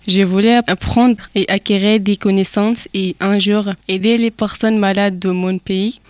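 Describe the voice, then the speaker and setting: A woman's voice: medium pitch, a little mellow, dry recording. A woman from Afghanistan reading a prepared testimony carefully, with small stumbles. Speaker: testimony speaker.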